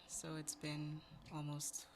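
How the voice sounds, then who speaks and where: Low-voiced Cameroonian woman in interview. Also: monotone, slow pace, slight background noise. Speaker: podcast guest, charity representative. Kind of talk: conversational interview answers.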